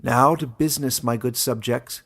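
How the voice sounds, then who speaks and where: A man voicing an old warden of a fantasy storybook, kindly and playful, speaking with formal, measured authority. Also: mid-range voice, fairly even intonation, fairly bright tone, breathy edge. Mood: solemn, welcoming.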